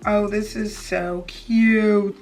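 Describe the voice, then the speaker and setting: A woman's voice: medium pitch, steady tone, extremely slow, darkish tone. A young woman beauty vlogger talking casually and informally to her viewers in a slangy, chatty tutorial style. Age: young adult. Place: small indoor room with plain wall. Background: background music.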